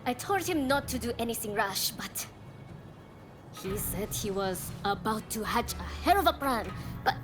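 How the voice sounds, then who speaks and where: A woman's voice: a little high, expressive, measured pace. An actress playing a spirited, loyal young woman in a dramatic video game. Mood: friendly, musing. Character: spirited, warm young Japanese woman, loyal to her companions. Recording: music behind the voice.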